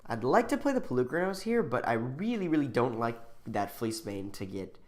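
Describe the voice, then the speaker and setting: A man's voice: mid-range voice, sing-song, moderate pace, darkish tone. A man talking casually through his own card game turn by turn, thinking aloud with ums and restarts. He is getting over a cold and sounds a little sniffly. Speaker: channel host.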